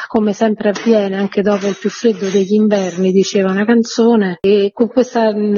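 A woman's voice: mid-range voice, mellow, breathy edge. A woman, speaking conversationally as a theatre guest presenting a programme in a radio interview. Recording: dull, lo-fi call audio.

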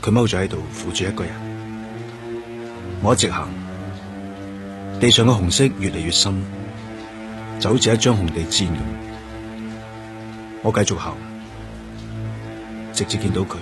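A man's voice: low-pitched, moderate pace, pauses often, music in the background, natural voice. A man acting in a Cantonese radio drama with a Hong Kong accent, playing a grief-stricken police detective who also narrates. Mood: numb, sorrowful. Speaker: actor.

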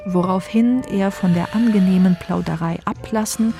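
A woman's voice: medium pitch, normal speed, music in the background, lightly acted. A woman narrating a literary radio play as a composed storyteller.

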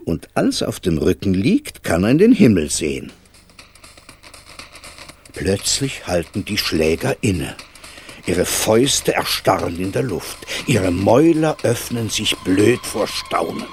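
A man's voice: sits low, big pitch swings, measured pace, heavily acted. A man narrating a radio fairy tale as a theatrical, lyrical, wistful storyteller who lingers on his phrases. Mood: wry, vivid.

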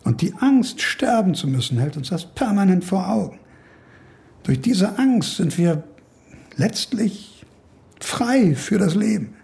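Creaky, cantankerous older professor. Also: male voice, high voice, very animated, a little mellow, exaggerated voice. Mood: brooding, philosophical. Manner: rambling, emphatic monologuing with musing pauses. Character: ailing older professor, brooding, cantankerous, philosophizing. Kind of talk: radio drama dialogue.

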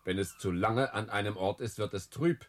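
An actor in a radio play playing a courtly, smooth-talking ship's captain, flattering and poetic as he woos and tells tales. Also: fairly low pitch, normal speed, close to an everyday voice. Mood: charming, insistent. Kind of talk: radio play acting, lyrical storytelling.

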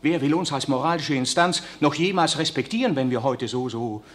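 An actor playing an impassioned young priest, declaiming in emphatic radio drama style. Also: male voice, a little high, animated, fairly quick, faint music behind, close to an everyday voice. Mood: anguished reproach. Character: impassioned young priest accusing the Pope of silence.

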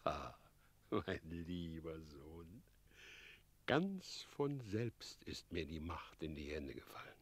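A man's voice: very deep voice, big pitch swings, slow pace, slightly rough, no echo, full character voice. An actor playing a scheming, smug middle-aged mayor and father in a radio play, speaking in a sly, theatrical way. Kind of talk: German radio play acting.

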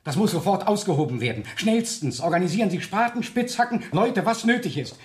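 An actor playing a fussy, officious police superior with an urgent, commanding manner in a radio crime drama. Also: male voice, high-pitched, expressive, brisk. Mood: urgent, agitated. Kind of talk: 1970s German radio crime drama acting. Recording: echoey room, faint noise.

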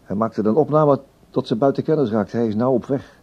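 A man's voice: mid-range voice, fairly quick, dark tone, highs cut off, slight background noise, lightly acted. An actor playing a flustered, officious newsroom chief who fusses and repeats his words in a radio drama. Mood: puzzled. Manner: hesitant, fussy, repeats words.

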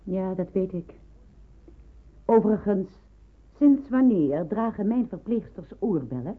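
Stern, emphatic hospital matron. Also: female voice, mid-range voice, lively intonation, unhurried. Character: strict, brisk hospital matron with authority. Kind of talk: radio play acting. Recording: narrow-band old radio recording.

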